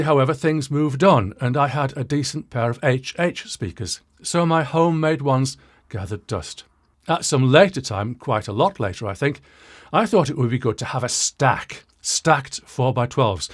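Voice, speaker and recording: A British man in his sixties telling a story to viewers as a presenter, in a wry, self-deprecating way with dramatic pauses and asides. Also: medium pitch. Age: 60s. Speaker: presenter of an audio channel.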